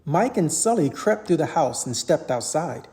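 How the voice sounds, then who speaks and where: Animated storybook reader with lively pitch. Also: moderate pace. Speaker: parent reading aloud to a child. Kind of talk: animated children's storybook narration.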